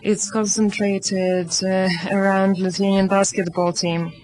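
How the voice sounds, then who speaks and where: A woman interpreting live into English at a seminar, halting and restarting as she goes. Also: medium pitch, level tone, darkish tone, faint music behind, rough recording.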